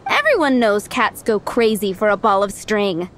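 A woman voicing a sweet, fussy, doting young townswoman in a video game, speaking in a gentle, expressive way. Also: mid-range voice, normal speed, a little hiss or hum. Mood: fond, helpful. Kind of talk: video game character dialogue.

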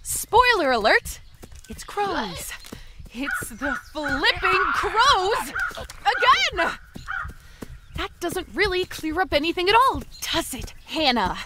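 A woman's voice: very high-pitched, huge pitch swings, slow pace, heavy background noise, full character voice. A woman playing a chatty, panicky, friendly young zombie who rambles and quips to the listener in a comedy audio drama. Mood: exasperated. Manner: rambling, quippy, self-interrupting.

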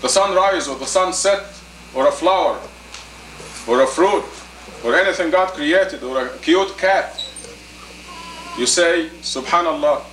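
A man in his fifties giving a sermon as an imam, deliberate and emphatic, in short phrases and rhetorical questions. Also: on the high side, fairly bright tone. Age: 50s. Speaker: imam, preacher. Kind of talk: sermon, teaching plainly to a congregation. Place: indoor meeting room. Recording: roomy, distant camcorder audio with faint noise.

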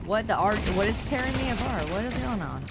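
A woman's voice: a little high, lively intonation, fast. A young adult woman with a Midwestern American accent, chatting playfully while streaming a game, often repeating phrases in a sing-song way. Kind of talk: casual gameplay streaming chat. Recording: loud game noise underneath.

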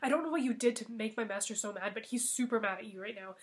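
A woman voicing a sly, greedy young cook who talks breezily and turns shrill when she lies. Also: fast talker, natural voice. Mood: hushed warning.

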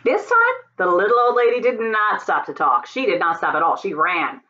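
A middle-aged woman reading a storybook aloud to children, with dramatic emphasis and deliberate pauses. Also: medium pitch, sing-song, sharp tone, lo-fi sound. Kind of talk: expressive picture-book read-aloud. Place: home office, indoors.

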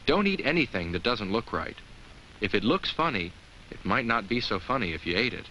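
Friendly low-voiced talking pumpkin. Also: male voice, very animated, fairly quick, pushed and bright, rough recording. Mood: cautioning. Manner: earnest, reassuring, simply explaining to children. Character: friendly talking Halloween jack-o'-lantern, kindly and earnest. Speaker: narrator. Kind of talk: scripted children's educational film narration.

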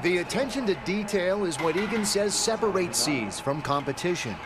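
A man with an American accent narrating a scripted TV news feature in a polished, measured broadcast delivery. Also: high voice, lively intonation, crowd noise. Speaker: TV news reporter.

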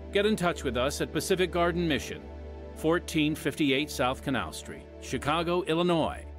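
A man with an American accent delivers scripted radio narration as a podcast host. His pitch moves in lively sweeps, and music plays clearly behind him.

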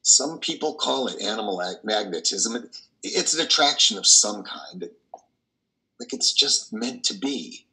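An older man playing a troubled, tender priest who confesses his doubts haltingly and fervently in a play reading over a video call. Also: lowish voice, expressive, sharp tone, exaggerated voice, low-quality recording. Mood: hesitant, yearning. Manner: halting, fervent, with repeated words. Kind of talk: dramatic monologue in a play reading. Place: wood-panelled room at home, indoors. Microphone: video call, computer microphone.

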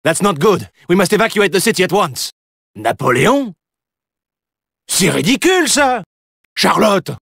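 An actor playing a stern, proud, dogged French police inspector in a video game, with a French accent and a commanding, clipped, theatrical delivery. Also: male voice, high voice, very animated, unhurried, vocal fry, long pauses, a bit bright, slightly rough, no echo, studio quality, heavily acted. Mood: urgent, commanding. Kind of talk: dramatic video game character lines.

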